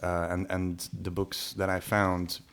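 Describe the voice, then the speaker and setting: A man whose first language is Hungarian, talking casually on a podcast with many pauses and restarts. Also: deep voice, monotone, fairly bright tone, clean tone. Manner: hesitant, many restarts and fillers. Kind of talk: casual conversation.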